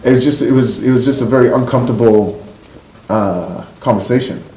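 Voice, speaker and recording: A man's voice: mid-range voice, brisk, dark tone. A man with a Canadian accent telling personal stories casually and candidly as a podcast guest, in an informal conversational way. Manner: informal and candid, frequent "like" and "you know". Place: indoor room, in-person podcast recording. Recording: roomy echo, faint background noise.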